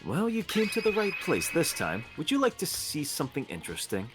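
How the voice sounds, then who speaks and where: A man's voice: on the high side, huge pitch swings, voice pushed up. Casting: arrogant male teen student, played by a man, curt and superior, game dialogue with faint music behind. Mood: haughty. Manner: condescending, measured. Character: haughty, condescending teenage male student, curt and impatient. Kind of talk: amateur voice acting in a game playthrough.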